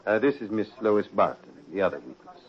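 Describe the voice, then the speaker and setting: Dull old-time radio sound, suave prince of darkness, low-pitched, polite menace, unhurried, urbane, 1940s mystery drama. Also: male voice, big pitch swings, a little hiss or hum. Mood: composed, explaining. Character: suave sinister prince, the Devil in gentleman's guise.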